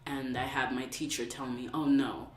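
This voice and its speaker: A woman's voice: a bass voice, level tone, normal speed. A young woman in an interview, earnestly telling a story from memory. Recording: roomy with faint hiss.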